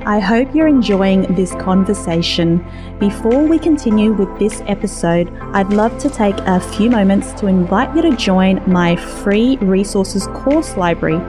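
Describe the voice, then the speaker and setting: Woman podcast host, encouraging, mid-pitched, music underneath. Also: animated, unhurried. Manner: encouraging, with brief affirming replies. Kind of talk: interview hosting and ad read. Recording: music playing behind.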